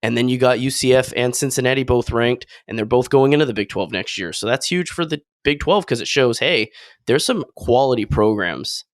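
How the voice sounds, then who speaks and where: A man's voice: mid-range voice, brisk, no echo. Upbeat man in a dry room. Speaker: podcast co-host. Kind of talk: casual sports podcast chat.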